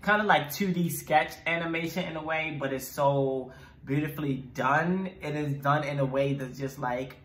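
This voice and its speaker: A man's voice: a little high, normal speed, a bit bright. A man in his twenties hosting a reaction video, chatting casually and effusively, repeating himself for emphasis. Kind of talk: casual enthusiastic review chat. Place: small room indoors. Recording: echoey room.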